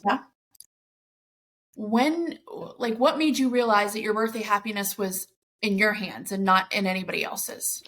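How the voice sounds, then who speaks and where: A woman's voice: medium pitch, measured pace, fairly bright tone. A woman hosting a podcast interview, bubbly and enthusiastic in her questions. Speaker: podcast host.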